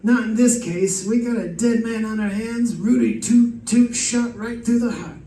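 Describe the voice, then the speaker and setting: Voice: mid-range voice, measured pace, mellow, close to an everyday voice. An actor playing a dry, puzzled homicide lieutenant bantering in an old-time radio detective drama. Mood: firm. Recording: echoey, distant mic, faint noise.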